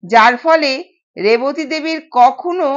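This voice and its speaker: A woman narrating a scripted audio story in a measured, expressive way, recorded with dull sound. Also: high voice.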